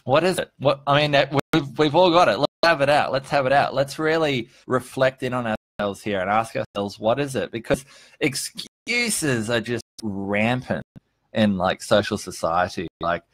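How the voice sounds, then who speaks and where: A man in his early thirties hosting a casual motivational livestream. His voice sits at an average male pitch and is recorded dry and close. He talks informally and earnestly, leaning on points and swearing freely. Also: moderate pace, fairly bright tone, no room sound. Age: early 30s. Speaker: vlogger hosting a live stream. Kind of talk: casual motivational livestream chat, answering viewers.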